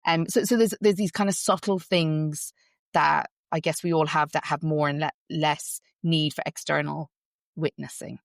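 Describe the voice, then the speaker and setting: A woman's voice: sits low, steady tone, moderate pace, creaky, dry recording, studio quality. A woman raised between Ireland and London, with an accent that mixes Irish and English, speaking thoughtfully as an interview guest with frequent restarts. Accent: Irish-English mix, heard as English in Ireland. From Ireland, raised partly in London. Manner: thoughtful, many restarts and fillers. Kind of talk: reflective conversational interview.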